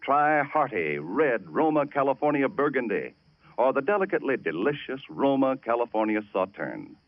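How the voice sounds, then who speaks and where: A man delivers an old-time radio advertisement as an announcer. His mid-pitched voice is lively and emphatic, with deliberate salesmanship. The recording is dull. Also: no echo. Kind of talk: 1940s radio advertisement reading.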